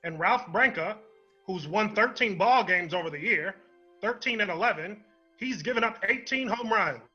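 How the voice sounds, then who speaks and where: A man acts out an excitable old-time baseball play-by-play announcer, his high voice raspy and strained. He sounds piercingly bright, over music on a dull recording. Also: moderate pace, full character voice. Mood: eager, building. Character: excitable 1950s male baseball radio announcer calling a game. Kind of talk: play-by-play sports commentary.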